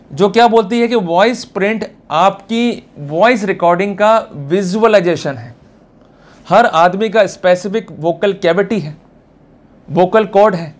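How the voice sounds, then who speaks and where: A man with an Indian accent giving an informal law lecture in an emphatic, colloquial way, often throwing out rhetorical questions. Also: high-pitched.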